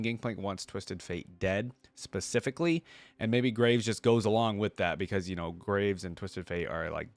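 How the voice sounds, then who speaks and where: A man's voice: on the low side, no room sound. A man giving casual reaction commentary as a video host, in an informal, rambling way.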